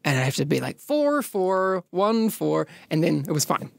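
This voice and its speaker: Kiwi guy hosting a podcast, gravelly voice, kinda high, dry sound, jokey. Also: very animated, normal speed. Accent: New Zealand. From New Zealand. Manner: playful, laughs often. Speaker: podcast host. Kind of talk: casual chat.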